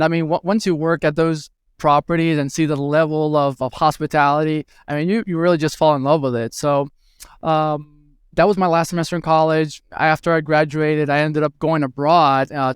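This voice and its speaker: Brief: man from Venezuela, thirties, smooth voice, slightly higher register, hesitant chatty guest. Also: dry recording, clean studio sound. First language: Spanish. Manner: hesitant, many fillers and restarts. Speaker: guest, hospitality tech executive. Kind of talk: conversational interview answers.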